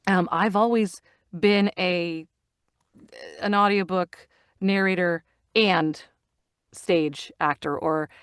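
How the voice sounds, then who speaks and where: A woman in her fifties, an actor and narrator, talking in an animated way over a video call and repeating phrases for emphasis. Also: on the low side, slow pace, pauses often, clean tone, no echo, studio quality. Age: 50s. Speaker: guest, actor and audiobook narrator. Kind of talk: casual interview conversation.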